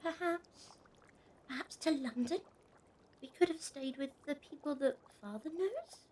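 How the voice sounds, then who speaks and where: A woman playing a high, timid village girl. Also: a little slow, a little mellow. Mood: fearful. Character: timid, questioning young village daughter. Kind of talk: period audio drama acting.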